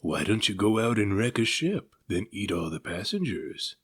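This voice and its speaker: A man voicing a scornful shark bully in a storybook, with a sneering, drawn-out delivery. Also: lowish voice, big pitch swings, slightly rough. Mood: goading. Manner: sneering, drawling.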